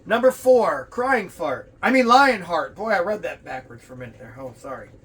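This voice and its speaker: A man's voice: high-pitched, lively intonation, normal speed, fairly bright tone. A man hosting a wrestling show, joking and rambling through a casual rundown with stammered restarts. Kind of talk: casual chat and rundown of rankings. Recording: clear background room noise.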